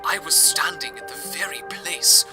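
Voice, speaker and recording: A man's voice: fairly high pitch, lively intonation, moderate pace, natural voice. Man acting as an earnest radio storyteller over music. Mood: wistful, descriptive. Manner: earnest, reverent, descriptive. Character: awestruck, devoted radio broadcaster recounting a palace visit. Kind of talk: scripted first-person radio narration.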